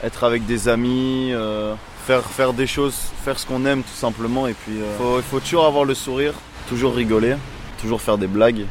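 A man's voice: medium pitch, fairly even intonation, normal speed. A man answering casually in a street interview outdoors. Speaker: passer-by interviewee. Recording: noisy outdoor urban street recording.

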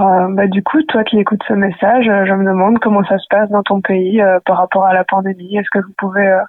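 Young woman, twenties, from Belgium, hesitant, fillers, flat pitch, dry, phone-like sound. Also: dry recording. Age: 20s. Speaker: student sending a message. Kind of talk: personal voice message.

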